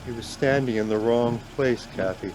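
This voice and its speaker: A man's voice: moderate pace, darkish tone, close to an everyday voice, poor audio. An actor playing a numb, guilt-stricken man traveller in a radio play, speaking in a hesitant, subdued way. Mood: numb, shocked. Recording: background noise with car rumble.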